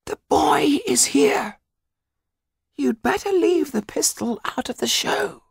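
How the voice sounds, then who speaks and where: A man voicing a wary, gruff male dwarf who speaks in an urgent hissed whisper. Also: very high voice, very animated, slow, no echo, studio quality, voice pushed up, full character voice.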